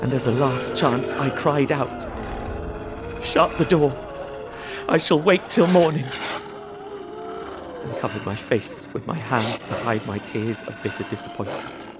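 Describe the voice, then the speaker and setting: Young gentleman, male actor, mid-pitched, earnest and fearful, reading a gothic journal over a clear music bed. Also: unhurried, pauses often, close to an everyday voice. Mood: rising fear. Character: anxious, trapped young Englishman writing his journal. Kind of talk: dramatic first-person journal narration, audio drama.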